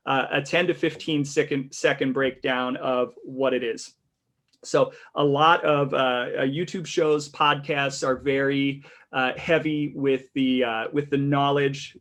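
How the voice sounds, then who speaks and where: A man with a light tenor voice hosts a relaxed podcast conversation. He rambles through his points, restarting sentences and filling pauses with "uh" along the way. He sounds as if he is talking into a podcast mic on a video call. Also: mid-range voice. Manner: rambling, many restarts and fillers. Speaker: podcast host. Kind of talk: casual podcast chat.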